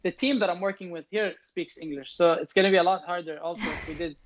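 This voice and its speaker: A man's voice: sits high, quick pace, muffled recording, crowd noise. A young man whose first language is Arabic, answering interview questions thoughtfully, often restarting and repeating words as he explains. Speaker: show founder and host, interviewed as guest.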